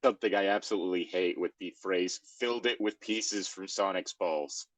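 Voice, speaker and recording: A man's voice: sits low, normal speed, a bit bright, dry recording. A man hosting a comedic read-along in a casual, bantering style. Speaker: host of a comedic reading show.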